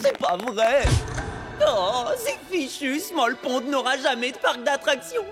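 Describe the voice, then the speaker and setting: An excitable young male cartoon creature, high in pitch and very animated. The delivery is dramatic and quick to exasperation, with loud music behind. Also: heavily acted. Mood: exasperated. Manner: dramatic, expressive. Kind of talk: French animated cartoon dub.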